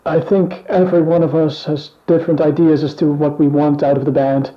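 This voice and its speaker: A man acting in a scripted mock band interview, speaking in a measured, plain and earnest way. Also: a little high, little pitch movement, muffled recording, close to an everyday voice. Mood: calm, earnest.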